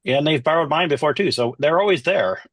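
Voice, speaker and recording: Quick-talking, higher-voiced man chatting. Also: expressive, a bit bright. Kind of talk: casual group discussion.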